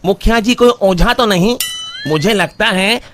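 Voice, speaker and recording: A man's voice: sits high, measured pace, a bit bright, slight background noise. A man voicing an earnest, worried young villager in a cartoon. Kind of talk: Hindi cartoon voice acting.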